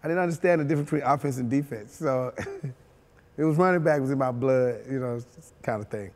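A man's voice: medium pitch, fairly quick, big-room sound. A man, telling his life story in a sit-down interview, easygoing and chuckling, full of "you know". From Mississippi, US. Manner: relaxed, chuckling, many you-knows. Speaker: former football player. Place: studio with dark backdrop.

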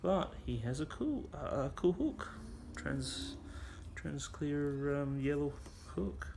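A man's voice: a little high, creaky. A man casually showing off and reviewing a vintage building set, rambling with fillers, in a cluttered toy room. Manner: unhurried, rambling, with frequent fillers. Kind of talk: casual show-and-tell commentary. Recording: noisy home video.

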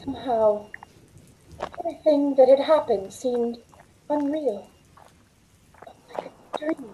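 An actress as a high, mellow, shaken waitress. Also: female voice, takes it slowly, slight background noise, rough recording. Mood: shaken, dazed. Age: middle-aged. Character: shaken young waitress recounting a terrifying ordeal. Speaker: lead actress in a radio play. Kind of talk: dramatic first-person radio acting. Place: room at home, on a video call.

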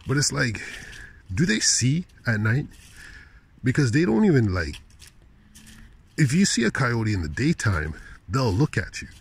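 A man's voice: fairly low pitch, breathy edge. A man rambling casually in slangy, incredulous asides, speaking into a handheld phone while walking outdoors at night. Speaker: vlogger. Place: outdoors at night on a park path.

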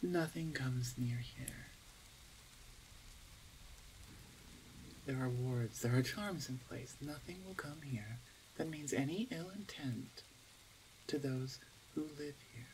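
A woman playing a teasing, smug older orc shaman sister in an ASMR roleplay, with a playful, drawn-out, self-assured delivery. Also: a bass voice, a little mellow, a little hiss or hum, low-quality recording. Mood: smug, languid. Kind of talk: ASMR roleplay audio drama.

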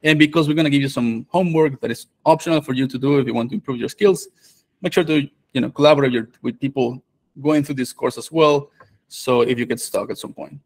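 A man's voice: mid-range voice, brisk. Male host, 30s, video-call mic, casual and chatty. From Venezuela. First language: Spanish. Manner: relaxed, many fillers and restarts. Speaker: developer advocate and course host. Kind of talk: live online course introduction.

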